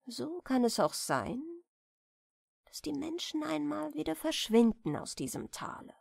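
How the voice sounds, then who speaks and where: A woman narrator softly reading a bedtime story in a gentle, soothing manner. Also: high-pitched, very animated, creaky, dry recording, studio quality. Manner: gentle, soothing, unhurried delivery. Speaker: audiobook narrator.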